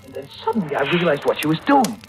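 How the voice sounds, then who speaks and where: Haunted young seaman, dramatic swings in pitch. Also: big pitch swings, fast, heavy background noise. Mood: frantic suspense. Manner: intense, dramatic, haunted delivery. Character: guilt-ridden young seaman narrating his own mortal terror. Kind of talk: 1940s-50s radio drama acting, first-person suspense narration. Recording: vintage radio recording with loud music.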